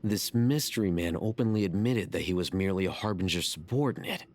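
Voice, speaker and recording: A man voicing a composed, skeptical scholar in a video game, speaking in a measured, formal, dry way. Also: low voice, expressive, a little mellow, a little hiss or hum. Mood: analytical. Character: composed, coolly analytical young male scholar, blunt and skeptical. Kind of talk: video game character acting.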